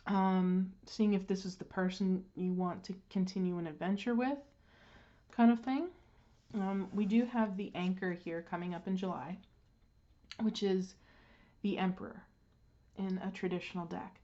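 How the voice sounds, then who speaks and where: Stuffy-sounding woman doing a tarot reading, lots of ums, kinda muffled audio, middle-pitched voice. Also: fairly even intonation, dark tone. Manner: unhurried, with frequent ums and restarts. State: congested, clearing throat. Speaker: tarot reader. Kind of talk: casual card reading.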